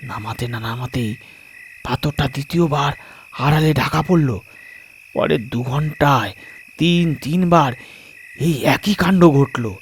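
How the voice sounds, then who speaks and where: A man narrating a scripted suspense story dramatically, in measured short phrases with pauses. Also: medium pitch, slight background noise. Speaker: storyteller, narrator of audio stories.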